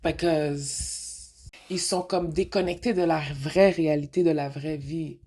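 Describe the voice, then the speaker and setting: A woman's voice: low-pitched, steady tone, a little slow, dark tone, slightly rough. A woman with a Québécois accent hosting a casual radio monologue, rambling and frank, slipping into English mid-sentence and asking rhetorical questions. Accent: Québécois French. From Quebec. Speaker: radio show host.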